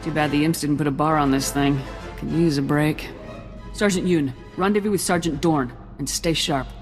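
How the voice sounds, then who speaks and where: Man playing higher-voiced commanding military captain. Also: steady tone, moderate pace, soft background music, close to an everyday voice. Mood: brisk. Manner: firm, clipped, commanding. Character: confident, commanding male special forces captain. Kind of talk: video game cutscene acting.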